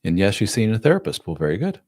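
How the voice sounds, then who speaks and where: A man nearing fifty talks through viewer questions as a relationship coach, loose and conversational. His voice sits very low, and the sound is close and dry, with almost no room around it. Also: huge pitch swings, fast, a little mellow, no room sound. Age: 40s. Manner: casual, plain-spoken, acts out quotes in a raised voice. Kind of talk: live-stream Q&A.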